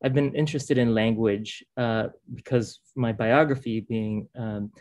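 A man's voice: medium pitch, steady tone, a little slow, darkish tone. Hesitant man giving an artist talk. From Philippines. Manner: hesitant, many ums and uhs. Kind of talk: artist talk on a video call. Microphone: video call.

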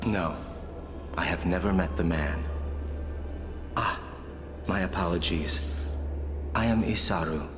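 A man's voice: deep voice, takes it slowly, pauses often. An actor playing a courteous, reverent male summoner in a video game, speaking formally and politely. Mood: gracious. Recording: long hall reverb, music behind.

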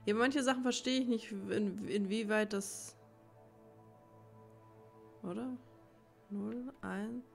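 A woman's voice: mid-range voice, fairly even intonation, slow, gravelly, pauses often. A woman giving casual let's play commentary, thinking aloud and muttering to herself in a puzzled way as she works things out. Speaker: let's player, channel host. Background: game music.

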